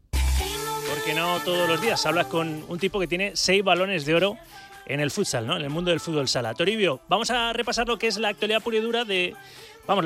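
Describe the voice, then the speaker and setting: A man hosting a live radio sports show with brisk, upbeat presenter patter. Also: sits high, sharp tone.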